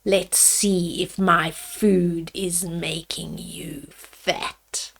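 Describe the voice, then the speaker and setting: A woman's voice: fairly low pitch, level tone, extremely slow, gravelly, fairly bright tone, slightly rough, voice pushed down, full character voice. A woman voicing a wicked old witch from a children's storybook, falsely kindly, bossy and snappish. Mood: menacing, bossy. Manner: snappish, commanding. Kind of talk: children's storybook read-aloud.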